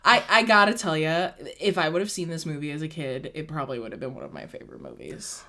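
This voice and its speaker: A young woman with a slightly low voice talks in a casual co-host chat. She rambles and gushes, filling her sentences with "like" as her thoughts spill out. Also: lively intonation, fast, bright, forceful tone. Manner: gushing, rambling, heavy use of "like".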